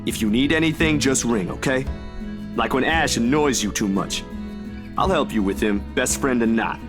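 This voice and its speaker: A man's voice: mid-range voice, normal speed, natural voice. A male actor playing an easygoing, protective young best friend in a visual novel, with a casual, caring manner. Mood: gently concerned. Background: guitar music.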